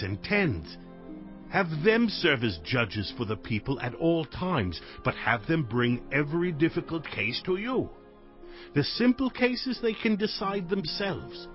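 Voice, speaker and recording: An older man playing an elderly father-in-law in a scripture drama, giving counsel in an earnest, emphatic, deliberate way. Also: on the high side, highs cut off.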